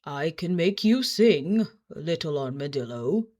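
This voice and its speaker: A woman voicing a great storybook wizard, grave and deliberate, with a solemn manner. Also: low-pitched, animated, a little mellow. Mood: grave warning. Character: great old-tale wizard, grave and reluctant.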